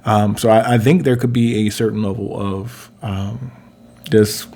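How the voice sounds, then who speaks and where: A man's voice: on the low side, steady tone, measured pace, mellow. A man in his forties, a relationship coach co-hosting, who talks casually, thinks aloud and fills his speech with "um" and "you know". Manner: thinks aloud with many fillers, polite. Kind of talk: casual conversational chat.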